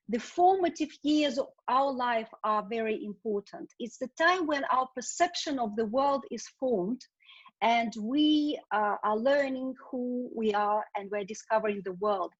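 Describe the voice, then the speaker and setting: A woman interviewer asking prepared questions in measured, formal phrasing. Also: fairly high pitch, highs cut off.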